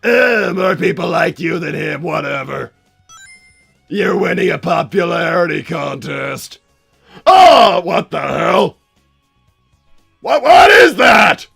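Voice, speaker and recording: A man voicing a hammy, short-tempered mad-scientist villain on a comedic livestream, blustering and theatrical with a gravelly rasp. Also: high voice, lively intonation, slow, pauses often, soft background music, full character voice. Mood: exasperated. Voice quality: gravelly, raspy shouting.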